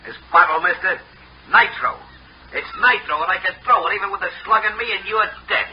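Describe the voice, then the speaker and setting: Man playing a defiant, high-strung criminal, pitched high. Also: a little fast, natural voice. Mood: menacing, desperate. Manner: taunting, agitated. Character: cornered, defiant male safecracker threatening with nitroglycerin. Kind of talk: 1960s radio crime drama acting. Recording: muffled old radio recording, heavy noise.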